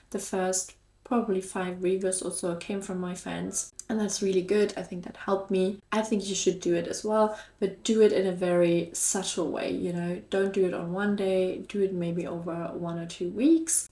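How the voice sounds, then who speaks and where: A woman's voice: medium pitch, dark tone. A woman with a German accent talking casually to her viewers as a vlog host, in an upbeat, encouraging and chatty way. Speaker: YouTube host and online shop seller. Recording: echoey room.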